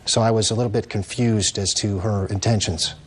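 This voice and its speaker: A man defending himself in court, hesitant and halting, giving short answers. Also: on the low side, fairly even intonation, a little hiss or hum. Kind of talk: courtroom testimony. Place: TV courtroom set.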